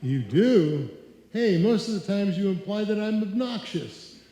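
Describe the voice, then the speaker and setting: Brash boastful loafer man acting, high mellow voice, slangy old-time radio comedy, echoey live stage. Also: lively intonation, measured pace. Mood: breezy. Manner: cocky, slangy.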